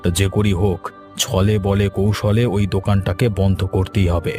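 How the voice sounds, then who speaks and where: Man playing a dark-voiced scheming village boss. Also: low-pitched, flat delivery, normal speed, faint music behind, close to an everyday voice. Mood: cold, scheming. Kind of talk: Bengali cartoon story acting.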